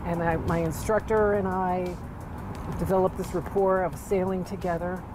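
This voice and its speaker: A woman's voice: fairly low pitch, steady tone, normal speed, noisy background. A middle-aged woman who owns a charter business, speaking in an on-camera interview outdoors. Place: outdoors at a marina dock. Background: music.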